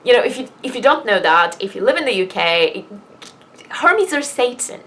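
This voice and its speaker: A woman's voice: fairly high pitch, very animated, moderate pace, a bit bright. A woman vlogging in a casual, chatty rant, exasperated and sweary, leaning hard on key words. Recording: noticeable background noise.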